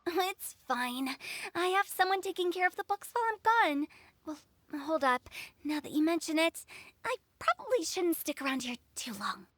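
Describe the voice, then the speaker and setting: A woman's voice: very high-pitched, very animated, moderate pace, fairly bright tone, full character voice. A woman voicing a mischievous, playful teenage girl in a video game, coy and theatrical. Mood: sheepish, playful. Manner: coy, theatrical, sing-song.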